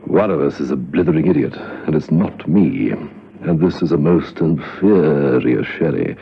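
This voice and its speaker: An actor playing a pompous, caustic older British spymaster in a radio drama, haughty and drily sarcastic. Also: male voice, rumbling low, lively intonation, measured pace, gravelly, slightly rough, exaggerated voice. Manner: haughty, drily sarcastic, old-boy address. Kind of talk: classic spy radio drama acting. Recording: dull, noisy old radio recording.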